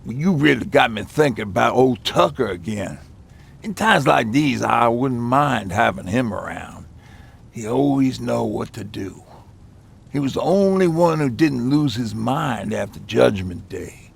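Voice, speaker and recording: A weathered survivor of the end of the world, speaking in a very gravelly, rasping voice. He tells his story haltingly and reflectively, as in a naturalistic video game drama. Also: medium pitch, lively intonation, exaggerated voice. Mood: somber, reflective. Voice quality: gravelly, husky. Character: weathered male post-apocalypse survivor recalling hard times.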